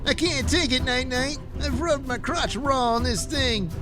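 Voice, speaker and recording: A man's voice: very high voice, measured pace, music in the background, pitched above the performer's own voice. A man voicing a whiny, panicky boy sidekick to a superhero in a comic audio cartoon. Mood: miserable. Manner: whining, excitable.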